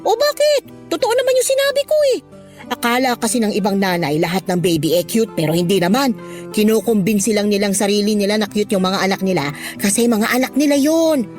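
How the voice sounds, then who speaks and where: A woman's voice: mid-range voice, very animated, a little fast, darkish tone, natural voice. A woman acting out a nagging older Filipino mother-in-law in a radio drama. She is blunt and scornful, with big swooping intonation and an argumentative edge. Music plays underneath. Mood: scornful, defensive.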